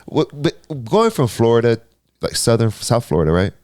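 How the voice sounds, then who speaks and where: A man's voice: medium pitch, huge pitch swings, moderate pace, a little mellow, dry recording. A joking man hosts a casual podcast chat, laughing freely between his questions. His voice sits in a middle register, and the recording is close and dry. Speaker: podcast host.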